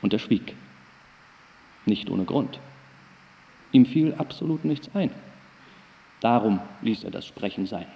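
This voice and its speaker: A man's voice: lowish voice, expressive, takes it slowly, pauses often, warm tone. A man on stage reciting humorous verse. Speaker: stage performer. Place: small broadcast hall, public event. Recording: reverberant hall, voice off mic.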